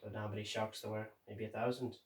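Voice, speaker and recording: A man playing a grim, haunted sailor who survived a sinking, reciting a dramatic monologue in halting, measured phrases with deliberate pauses. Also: lowish voice, flat delivery, close to an everyday voice. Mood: somber. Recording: roomy echo, distant microphone.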